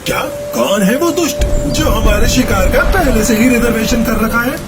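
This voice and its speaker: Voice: medium pitch, lively intonation, moderate pace, natural voice. A performer voicing a greedy, menacing eagle in a children's cartoon, boastful and threatening. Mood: indignant. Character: menacing, greedy hunting eagle that preys on small birds. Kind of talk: Hindi children's cartoon voice acting. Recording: loud music under the voice.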